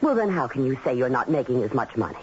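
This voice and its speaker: A woman's voice: a bass voice, sing-song, fast talker. A woman playing a pampered, rich-born wife in a radio drama, airy and teasing, calling her husband darling. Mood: puzzled. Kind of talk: 1970s radio drama acting. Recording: dull old radio broadcast, faint hiss.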